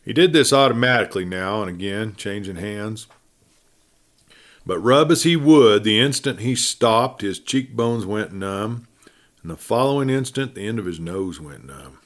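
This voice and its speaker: A man narrating a classic adventure story in a measured storytelling way, with pauses and phrases that fall away at the end. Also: lowish voice, expressive, a bit bright. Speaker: audiobook narrator and host.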